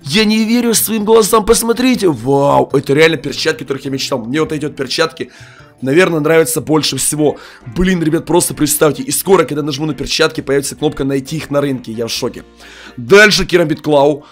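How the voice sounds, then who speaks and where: A man's voice: a little high, fairly quick, soft background music. A young man making a gaming video at home on a headset, hyped and full of amazed exclamations, sounding a little sick. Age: young adult. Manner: hyped, exclaims in amazement, pushes likes and promo codes. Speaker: mobile game youtuber. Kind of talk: excited gaming video commentary. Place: small room at home, indoors.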